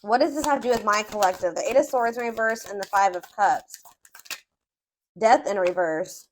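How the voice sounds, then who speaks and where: A woman's voice: mid-range voice, normal speed, slight background noise, poor audio. A woman giving a casual, improvised tarot reading, thinking aloud and rephrasing herself as she goes. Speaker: tarot reader.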